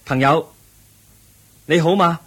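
An actor playing a polite, earnest young seeker in a radio drama, asking questions courteously and expressively. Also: male voice, a little high, slow pace, fairly bright tone, slightly rough, heavily acted. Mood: curious. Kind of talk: Cantonese radio drama acting.

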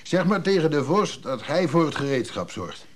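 Gruff old craftsman, swooping intonation. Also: fairly high pitch, big pitch swings, normal speed. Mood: grumbling, fond. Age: older. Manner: grumbling, blunt, chuckling. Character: gruff, sly old craftsman living in a care home. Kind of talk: Dutch radio play acting.

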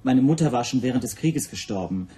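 Radio drama: earnest, uneasy Hungarian teacher male actor, mellow mid-range voice, formal and careful delivery. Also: medium pitch, lively intonation, fairly quick, close to an everyday voice. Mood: earnest, defensive. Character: stateless Hungarian language teacher, anxious and earnest.

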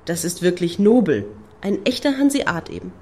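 An actress playing a high-flown club activist in a radio play, grandiose and ironically lofty. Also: female voice, big pitch swings, normal speed, darkish tone, breathy edge. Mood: ironic. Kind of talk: German radio play acting.

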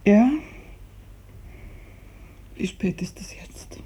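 An actress playing a gravely ill, bedridden woman in a 1950s radio drama, fearful and imploring, her weak, halting voice often sinking to a whisper. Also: warm tone. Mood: weak, wistful. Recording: muffled 1950s radio recording.

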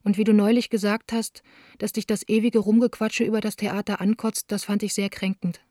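Level, mellow woman reading intimate letters as an actress. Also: medium pitch, little pitch movement, brisk, no room sound, clean studio sound, natural voice. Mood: hurt, reproachful. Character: Anna, wounded, candid young woman of the theatre, writing letters. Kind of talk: radio play monologue, letters read aloud.

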